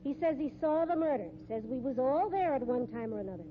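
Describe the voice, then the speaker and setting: A woman's voice: high-pitched, lively intonation, quick pace. An actress playing a bold, shrewd circus sideshow woman in a 1950s radio mystery, scornful and slangy. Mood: scornful, insistent. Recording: muffled, noisy old radio recording.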